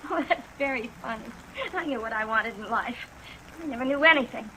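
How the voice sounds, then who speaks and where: A woman's voice: fairly high pitch, brisk, a little hiss or hum, low-quality recording. An actress playing a desperate, bitter, fearful young woman in a 1950s radio drama, emotional and plaintive, on a vintage recording. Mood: weary, despairing. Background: steady rain sounds.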